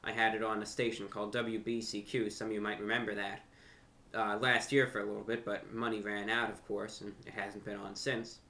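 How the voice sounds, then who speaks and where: A young man talking unscripted to camera as a host, with deliberate, slightly formal phrasing, pausing and repeating words. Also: on the low side, level tone, sharp tone. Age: young adult. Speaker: YouTube host and amateur radio broadcaster. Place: furnished room at home, indoors.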